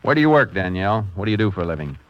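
Low-voiced shrewd Texas lawman. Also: very animated, rapid-fire, no echo. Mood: probing, stern. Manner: clipped, plain-spoken, probing. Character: shrewd, steady Texas Ranger investigator. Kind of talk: 1950s radio drama acting and narration. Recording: muffled, faintly noisy 1950s radio broadcast.

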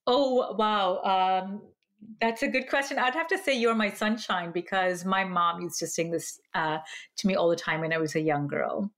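A middle-aged woman born in Malaysia, a psychologist speaking in a measured, explanatory way as a podcast guest. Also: medium pitch, a bit bright. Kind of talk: podcast interview.

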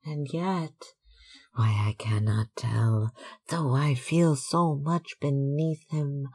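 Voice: medium pitch, darkish tone, husky, exaggerated voice. A narrator voicing a starry-eyed young actress, breathless and theatrical, in an audiobook. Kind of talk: audiobook character acting.

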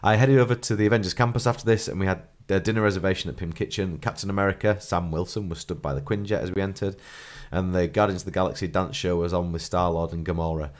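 A man's voice: low voice, steady tone, fast, few pauses. A man hosting a podcast with a blocked nose from a cold, reading a listener's trip report aloud and chuckling often. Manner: chuckles often, clears throat. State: congested, stuffy nose from a cold. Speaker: podcast host.

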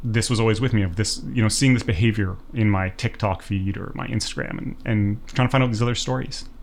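A man's voice: on the low side, brisk, a little hiss or hum. Earnest man in his thirties. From Canada. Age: 30s. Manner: reflective, earnest, many fillers. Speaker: documentary filmmaker guest. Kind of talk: casual podcast interview.

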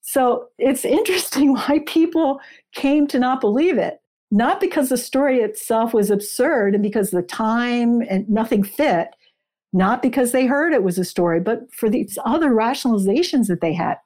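Mellow-voiced woman explaining history. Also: a little high, lively intonation, normal speed. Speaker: guest author. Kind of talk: conversational interview, explaining history.

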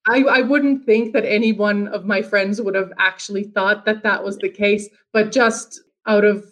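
A woman's voice: medium pitch, fairly even intonation, normal speed. A woman from Finland with an American accent, whose first language is Finnish, talking as a guest in a casual conversation and rambling with frequent restarts and fillers.

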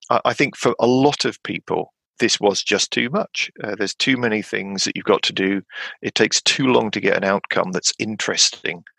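A middle-of-the-range male voice with a gravelly, slightly husky texture, belonging to a man in his fifties. He rambles through casual conversation with frequent fillers and restarts, his pitch springing up when something excites him. The sound is dry and close to the mic. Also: no room sound. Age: 50s. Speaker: podcast guest. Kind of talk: casual chat about tabletop games.

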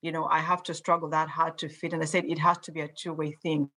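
Kenyan lady on a panel, lowish voice, slow careful talker. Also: little pitch movement, a bit bright, slightly rough. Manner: measured, deliberate enunciation. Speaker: panel guest. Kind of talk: panel discussion.

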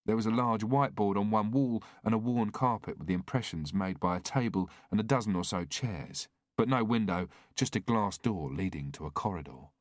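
Low-voiced man narrating a thriller. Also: dry recording, clean studio sound. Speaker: audiobook narrator.

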